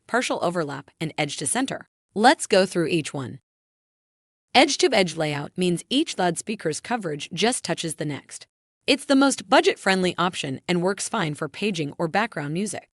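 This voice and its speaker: A woman reading a scripted technical tutorial in a clear, measured, instructional manner. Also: lowish voice, dry recording, studio quality. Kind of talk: scripted explainer narration.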